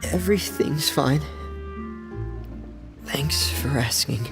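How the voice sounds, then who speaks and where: A man playing a reserved, loyal teenage boy in a video game, soft-spoken, subdued and hesitant. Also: fairly high pitch, slow pace, over loud music. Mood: withdrawn. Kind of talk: video game character acting.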